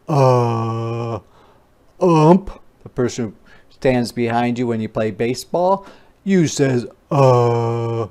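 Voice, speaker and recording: A teacher gives an interactive phonics read-aloud for young children. The delivery is extremely slow, with each sound and word carefully stretched and stressed. The voice is smooth and clear, with playful, expressive asides. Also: mid-range voice. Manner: exaggerated, deliberate enunciation of letter sounds and words.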